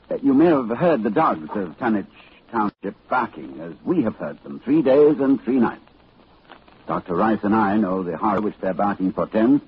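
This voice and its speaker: An actor playing a grave, urgent professor narrating horror in a formal, portentous 1940s radio style. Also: male voice, fairly low pitch, a little hiss or hum, natural voice. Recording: muffled 1940s radio recording.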